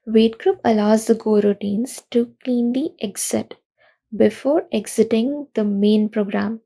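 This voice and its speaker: A young woman giving a recorded conference talk, explaining programming pitfalls in an earnest, steady way. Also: a little high, a little slow, gravelly, breathy edge. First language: not Russian. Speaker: conference speaker.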